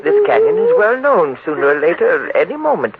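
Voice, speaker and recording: Noisy muffled old radio, anxious pleading guy, high voice, stammers, coaxing. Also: wildly swooping pitch, a little fast, exaggerated voice. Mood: coaxing, reassuring. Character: anxious, pleading man trapped in a canyon. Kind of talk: 1940s radio acting.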